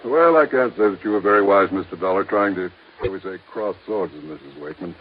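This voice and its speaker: An actor playing a cagey country lawyer, guarded and curt. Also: male voice, low voice, a little fast, natural voice. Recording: dull old radio, faint hiss.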